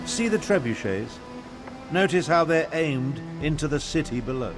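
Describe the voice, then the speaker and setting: A man's voice: on the high side, animated, normal speed, over music. A man playing an eloquent, indignant royal half-brother in a video game, speaking in a formal, articulate, theatrical manner. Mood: scornful, persuasive. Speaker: guide. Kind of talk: scripted video game dialogue.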